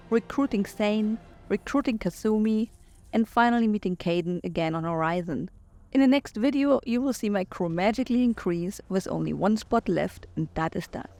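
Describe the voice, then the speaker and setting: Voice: medium pitch, darkish tone. Wry casual game commentator. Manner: wry, self-mocking. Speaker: gaming video commentator.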